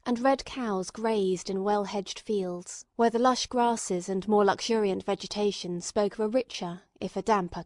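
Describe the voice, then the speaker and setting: A woman narrates a classic novel as an audiobook. Her pitch sits in the middle for a female voice, and the recording is very dry, with no room around it. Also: darkish tone, no echo. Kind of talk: scripted storybook narration.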